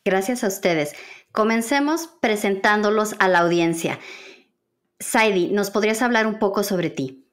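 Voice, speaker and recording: Female podcast host, measured delivery. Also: medium pitch. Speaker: podcast host interviewing book authors. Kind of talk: podcast interview, prepared introduction and questions.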